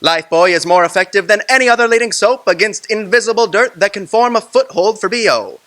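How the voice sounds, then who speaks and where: A man's voice: sits high, expressive, fairly quick, a bit bright. A man reading an old-style radio soap advert in an upbeat, persuasive way. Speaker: announcer and narrator.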